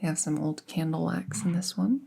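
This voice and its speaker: A woman playing a friendly apprentice witch in a roleplay audio, speaking softly and breathily, often near a whisper, with an unhurried, casual murmur. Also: lowish voice, creaky, slight background noise. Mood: casual, musing. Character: friendly young apprentice witch, soft-spoken and casually chatty. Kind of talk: roleplay audio drama, soft ASMR-like delivery.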